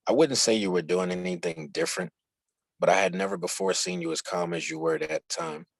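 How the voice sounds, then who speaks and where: A man with a husky, gravelly voice reading a written instructional dialogue aloud. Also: sits low, big pitch swings, brisk, dry recording. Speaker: reader of a book chapter.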